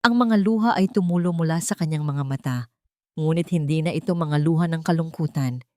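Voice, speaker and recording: Low-pitched woman, dramatic story narrator. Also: a little fast, darkish tone, no echo, clean studio sound. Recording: music bed under the voice. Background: music.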